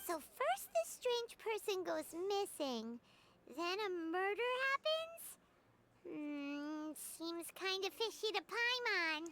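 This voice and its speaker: Very high, chirpy little fairy companion. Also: female voice, very animated, slow pace, a bit bright, a little hiss or hum, heavily acted. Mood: puzzled, suspicious. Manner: refers to self in third person, inquisitive. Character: small chirpy childlike floating fairy companion, female. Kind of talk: video game dialogue acting.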